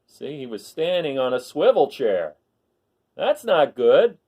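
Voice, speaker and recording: A man reading a children's picture book aloud expressively, pausing for asides and questions to his listeners. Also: medium pitch, big pitch swings, dark tone. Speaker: read-aloud storyteller.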